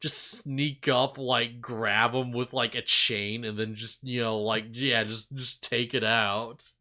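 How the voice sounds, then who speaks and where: A man's voice: medium pitch, steady tone, slow, sharp tone. A man co-hosting a podcast in casual chat, rambling and slangy, with a giggly manner. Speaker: podcast co-host. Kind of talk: casual chat, animated series discussion.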